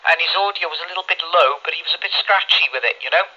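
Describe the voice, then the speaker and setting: A man's voice: fairly high pitch, fast, bright, forceful tone. A man chatting casually over the radio in a rambling, chummy way, with mild swearing. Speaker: amateur CB radio operator. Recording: dull lo-fi radio audio, phone recording.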